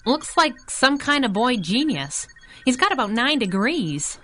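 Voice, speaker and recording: An actress playing a plucky, frank young heroine sidekick, informal and earnest, in a 1930s-style radio adventure. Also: female voice, on the high side, sing-song, a little slow, a bit bright, full character voice. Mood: curious, wry.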